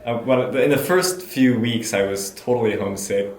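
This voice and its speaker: A man's voice: medium pitch, moderate pace, darkish tone, slight background noise. A young man speaking thoughtfully in a documentary interview, with stumbling restarts. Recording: echoey room.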